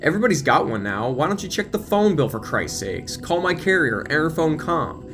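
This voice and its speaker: A man's voice: a little high, sing-song, normal speed, music in the background, pitched above the performer's own voice. A man playing an irritated, defensive middle-aged father in an audio drama, impatient and indignant.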